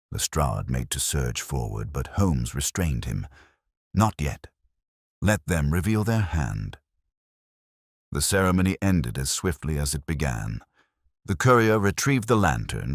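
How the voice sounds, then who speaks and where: Very deep-voiced man narrating mystery. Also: no echo, clean studio sound. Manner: grave, measured, formal storytelling delivery. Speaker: audiobook narrator. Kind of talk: dramatic scripted mystery story narration.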